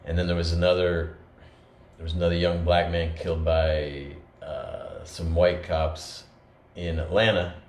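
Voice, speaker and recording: A man's voice: sits low, little pitch movement, a little slow. A man co-hosting a podcast, talking in an earnest, reflective way with many fillers and restarts. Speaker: podcast co-host. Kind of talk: reflective conversational talk. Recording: echoey room with faint noise.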